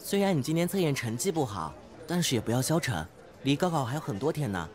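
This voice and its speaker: An actor playing an earnest, oblivious teenage class monitor who talks in a preachy, naive way in an audio drama. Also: male voice, mid-range voice, sing-song, quick pace, a little mellow, close to an everyday voice. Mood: innocent, kindly. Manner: preachy, matter-of-fact, naive. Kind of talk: modern audio drama acting.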